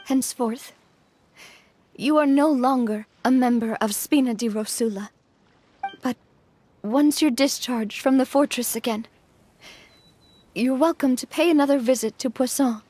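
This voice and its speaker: An actress playing a kind, resolute young woman who leads an organization, earnest and measured, in a dramatic video game. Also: high-pitched, mellow, a little hiss or hum. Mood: solemn, resolute.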